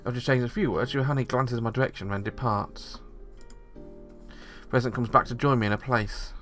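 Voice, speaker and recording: A man's voice: mid-range voice, fairly even intonation, brisk, a bit bright, soft background music. A man reading the narration of a visual novel aloud, steadily, with small slips.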